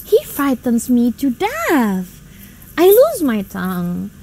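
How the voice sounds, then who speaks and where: An actress playing a timid, reluctant young daughter in a radio drama, hesitant and protesting. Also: female voice, a little high, wildly swooping pitch, takes it slowly, mellow, a little hiss or hum, exaggerated voice. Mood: anxious protest.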